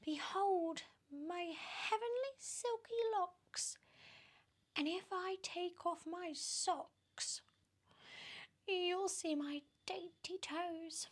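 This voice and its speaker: A woman's voice: very high voice, very slow, pitched above the performer's own voice, heavily acted. A woman voicing a vain, fat old aunt from a children's storybook, declaiming in a theatrical, self-adoring way.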